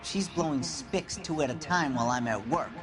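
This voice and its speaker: A man acts out a defiant working-class suspect from a 1940s crime game. His voice sits a bit high and swings widely, gruff and bitter. Music is clearly audible behind him. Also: animated, moderate pace, lightly acted. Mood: bitter, angry. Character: defensive, bitter 1940s working-class male gas fitter. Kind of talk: dramatic video game acting.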